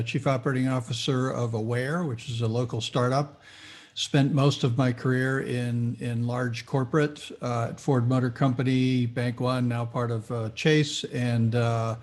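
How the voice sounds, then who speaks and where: A man's voice: medium pitch, darkish tone. A man in a mid-range voice introduces himself to an online panel. His manner is friendly and conversational, with light asides. He is heard over a video call. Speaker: panelist, startup executive.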